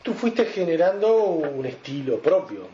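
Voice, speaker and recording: A man interviewing conversationally on radio, haltingly rephrasing his questions. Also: fairly high pitch, very animated, over music. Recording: dull, roomy radio recording.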